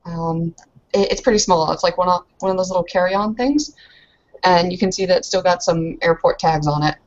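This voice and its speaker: A woman with a slightly low voice chats and narrates as a player in a tabletop roleplaying game. Her delivery is casual. The audio is muffled and lo-fi. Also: a little fast.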